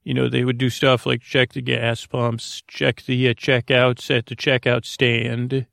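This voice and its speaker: A man hosting a sleep podcast in a meandering, halting, droning monologue full of tangents and repeats. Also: flat delivery, gravelly, a bit bright, no room sound, studio quality. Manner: droning, low-key. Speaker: podcast host.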